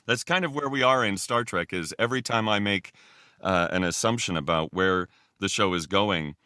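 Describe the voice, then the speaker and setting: Enthusiastic deep-voiced man, podcast guest. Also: moderate pace, bright, forceful tone, no room sound, studio quality. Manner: enthusiastic, gushing. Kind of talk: casual fan chat.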